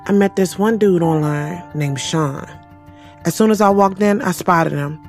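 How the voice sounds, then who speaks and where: A woman's voice: lowish voice, measured pace, a little mellow. Young streetwise woman telling her dating life, wry, slangy, self-amused, music behind. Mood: wry, exasperated. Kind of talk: first-person audio drama narration and dialogue.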